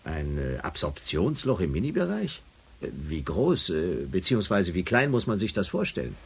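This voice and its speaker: An actor playing an impatient, questioning spaceship commander in a science fiction radio play. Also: male voice, low voice, sing-song, normal speed, gravelly, breathy edge, full character voice. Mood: puzzled.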